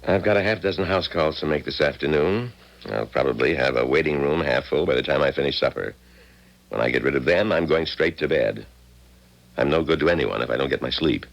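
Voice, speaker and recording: Harried older family doctor, rock-bottom pitch, folksy grumbler, gravelly, muffled vintage radio drama. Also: male voice, fairly quick. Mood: weary, firm. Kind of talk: 1970s radio drama acting. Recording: muffled old radio broadcast recording.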